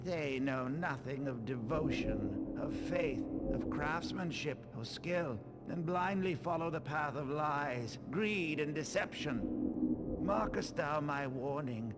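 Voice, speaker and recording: An actor playing a stern, scornful religious brother in a video game, speaking solemn, preachy, archaic phrases theatrically. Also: male voice, a little high, lively intonation, slow, loud music behind the voice. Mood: fervent, condemning.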